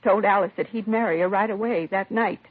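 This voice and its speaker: A woman's voice: mid-range voice, a little fast, lightly acted. An actress playing a composed middle-aged mother of a slain son in a 1950s radio crime drama, answering plainly and with restraint. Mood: distressed. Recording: vintage 1950s radio, narrow husky sound.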